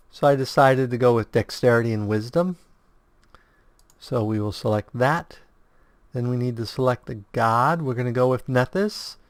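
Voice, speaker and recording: A man's voice: medium pitch, no echo. Man with a sore, strained throat. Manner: halting, self-correcting explainer. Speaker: video game guide host. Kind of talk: casual game walkthrough.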